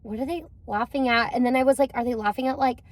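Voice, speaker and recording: A young woman giving an animated, chatty movie review and acting out other people's lines as she goes. Her voice is fairly high, with a dry, close sound. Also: fast talker, no echo. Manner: animated storytelling, quotes people in put-on voices. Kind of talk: casual chat.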